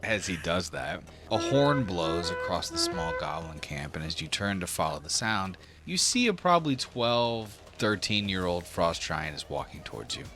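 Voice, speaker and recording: A man's voice: sits low, big pitch swings, normal speed, few pauses, over music. A man narrating a tabletop fantasy game as game master, in a casual manner. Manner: casual, swearing.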